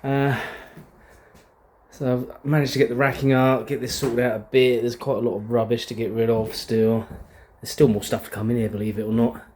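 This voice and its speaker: A man's voice: mid-range voice, little pitch movement, normal speed, a little mellow, a little hiss or hum. A British man vlogging casually, thinking aloud in an informal, chatty way as he shows things around him. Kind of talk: casual video diary, talking while showing the space.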